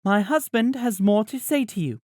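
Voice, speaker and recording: A man voicing a formal, composed mother in an audiobook, speaking in an even, official manner. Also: mid-range voice, normal speed, no room sound, clean studio sound, voice pushed up, lightly acted. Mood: formal, firm. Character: formal, composed mother addressing wronged neighbors. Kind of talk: audiobook character voice.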